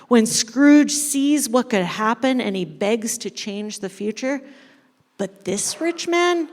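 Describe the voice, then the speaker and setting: A woman reading a story dramatically to a congregation as a church storyteller, expressive and deliberate. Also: medium pitch. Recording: echoey hall with long reverb.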